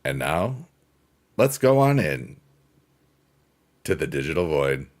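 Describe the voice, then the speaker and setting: A man with a deep voice hosting a casual livestream, chatting in a rambling, unhurried way with plenty of fillers and reading viewer comments aloud. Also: big pitch swings, a little hiss or hum. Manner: rambling, unhurried, many fillers like "you know" and "um". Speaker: livestream host.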